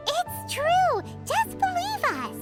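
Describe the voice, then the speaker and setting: Tiny female game sidekick: extremely high squeaky voice, wildly animated, cheeky and perky, music behind. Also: slow, exaggerated voice. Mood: eager, encouraging. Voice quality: squeaky, childlike. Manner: perky, exclamatory. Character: tiny childlike female companion, chirpy, cheeky and encouraging. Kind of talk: anime game dubbing.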